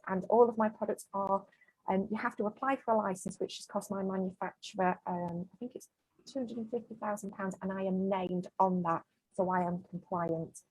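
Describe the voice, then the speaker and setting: A woman's voice: medium pitch, a little mellow, breathy edge, no room sound, poor audio. A woman in her forties from the UK, a business owner talking frankly and emphatically as an interview guest. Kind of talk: casual interview chat.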